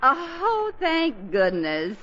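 High-pitched, fretting radio mother. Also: huge pitch swings, drawn-out words, exaggerated voice. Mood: anxious. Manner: flustered, insistent. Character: anxious, fussing middle-aged mother and wife. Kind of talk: 1940s radio comedy acting. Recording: noisy, dull 1940s radio broadcast.

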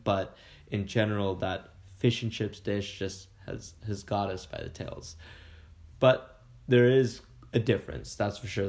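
A man's voice: on the low side, creaky, darkish tone. A man, a food blogger chatting upbeat and rambling as a podcast guest. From Canada. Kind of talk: casual interview chat.

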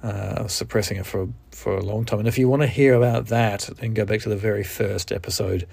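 A man with a New Zealand accent talks alone into the mic as a podcast host, low-pitched and gravelly. His delivery is reflective and halting, often stopping and restarting his sentences. The sound is dry and close, with no room around it. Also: fairly even intonation, a little mellow, no echo. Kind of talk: candid solo podcast monologue.

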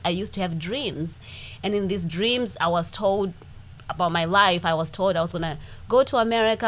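A woman in her forties with a Zimbabwean accent, co-hosting a podcast and telling her life story in a lively way, acting out the things people said. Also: mid-range voice. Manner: animated, acts out quoted speech. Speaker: podcast co-host. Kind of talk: casual personal storytelling.

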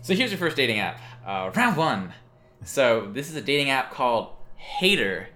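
A man's voice: medium pitch, sing-song, moderate pace, sharp tone. A man co-hosting a podcast, playfully explaining a game segment in casual chat. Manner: playful, laughs often, explains rules.

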